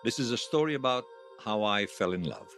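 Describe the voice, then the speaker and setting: A man acting the part of a wistful, wry old hero in his seventies, reminiscing haltingly in a radio drama interview. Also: lowish voice, animated, fairly bright tone, faint music behind. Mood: solemn, grand. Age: 70s. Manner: halting, reflective, self-deprecating. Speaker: interview guest.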